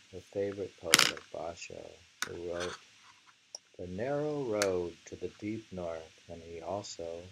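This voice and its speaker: A man reading poetry aloud with casual commentary, in an unhurried, halting manner. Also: low-pitched. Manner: unhurried, halting, repeats lines. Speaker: reader of translated poetry. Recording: faint hiss.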